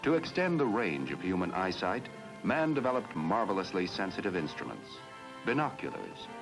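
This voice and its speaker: A man's voice: sits low, sing-song, normal speed, creaky, rough recording. A man narrating a 1950s educational film in a grand, dramatic style. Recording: old film soundtrack with loud music.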